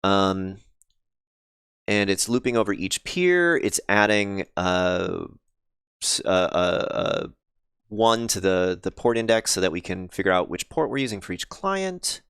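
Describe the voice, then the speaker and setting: Guy on a coding stream, kinda low smooth voice but a bit scratchy n sniffly, lots of ums, dry close mic. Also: expressive, measured pace, a bit bright, no room sound. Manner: thinks aloud, many ums and restarts, chats with viewers. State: slightly scratchy voice, sniffly after illness. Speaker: game developer streaming live. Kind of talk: casual live-stream coding chat.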